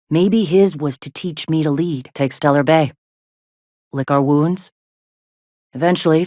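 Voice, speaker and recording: An actress playing a tough, weary rebel medic and second-in-command in a video game, speaking in a blunt, sardonic, foul-mouthed way. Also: female voice, extremely low pitch, darkish tone, no echo, clean studio sound. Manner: blunt, earthy, plain-spoken, sardonic. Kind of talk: video game dialogue acting.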